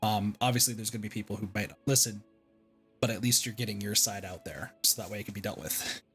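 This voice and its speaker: A man's voice: on the low side, fast talker, fairly bright tone. A man giving casual, reflective livestream commentary on a game in an informal way. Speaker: game streamer. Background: faint game music.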